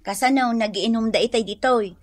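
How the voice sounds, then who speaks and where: A woman's voice: a little high, brisk, natural voice. An actress playing a nagging, motherly village storekeeper in an Ilocano radio drama, chiding and colloquial. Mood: wary.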